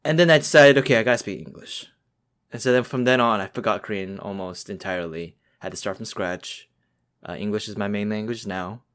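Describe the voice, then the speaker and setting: A man's voice: lowish voice, a little fast, a bit bright, clear, resonant tone. A young man from the United States co-hosting a podcast, telling a story in a casual, rambling way with many fillers and restarts. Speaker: podcast co-host. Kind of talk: casual chat.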